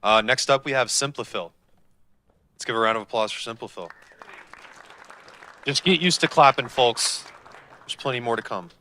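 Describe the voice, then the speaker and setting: A man's voice: medium pitch, moderate pace, long pauses, sharp tone, slight background noise. A man emceeing a hackathon, introducing the next team and urging the audience to clap. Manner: hypes the crowd and introduces teams. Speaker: event emcee.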